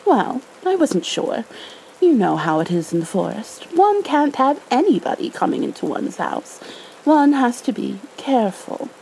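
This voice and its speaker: A woman's voice: on the high side, sing-song, a little slow, creaky, darkish tone, slight background noise, pitched above the performer's own voice, full character voice, rough recording. A woman voicing a fussy, cagey male rabbit from a storybook, speaking in a prim, polite, hedging way. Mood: fussy, welcoming.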